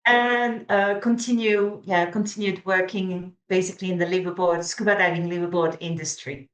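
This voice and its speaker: A woman's voice: on the low side, steady tone, normal speed. A woman in her forties from Switzerland, a guest in a casual interview, hesitant with many filler sounds. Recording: echoey room.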